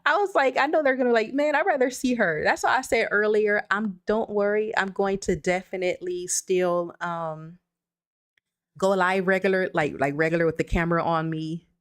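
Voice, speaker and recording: A woman's voice: medium pitch, normal speed. A woman hosting a casual livestream, chatting loosely and reassuringly as she reads out viewers' comments. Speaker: livestream host. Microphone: streaming setup with computer mic.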